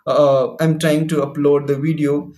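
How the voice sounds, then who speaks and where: Earnest man, Hindi native, mellow voice. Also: on the high side, little pitch movement, a little slow. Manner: earnest, repetitive, addresses viewer directly. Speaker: English teacher. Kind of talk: motivational talk to camera. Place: ground-floor room at home.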